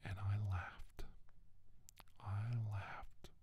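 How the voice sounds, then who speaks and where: A middle-aged man playing a solemn, haunted doctor who survived an abduction, in a slow, halting, whispered ASMR monologue. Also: fairly low pitch, level tone, a little mellow. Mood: tearful, wry.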